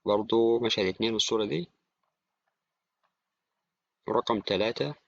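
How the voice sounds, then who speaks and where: A man with an Egyptian accent giving an informal software tutorial, talking to the viewer like a friend. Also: lowish voice, normal speed, creaky, no room sound. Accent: Egyptian Arabic. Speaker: tutorial presenter. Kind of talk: casual screen-recorded software tutorial. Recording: dry, slightly dull, with clicking.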